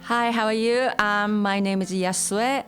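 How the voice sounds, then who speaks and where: A Japanese woman, a native Japanese speaker, tells her migration story in simple, halting English and laughs often. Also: mid-range voice, steady tone, soft background music. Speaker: interviewee. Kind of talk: personal story, monologue.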